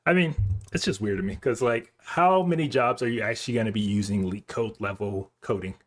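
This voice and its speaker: Guy streaming live coding, kinda low voice, slangy and casual, thinks out loud while answering chat. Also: a little fast. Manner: informal slangy talk, thinking aloud. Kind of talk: casual livestream chat, reading and answering viewer comments.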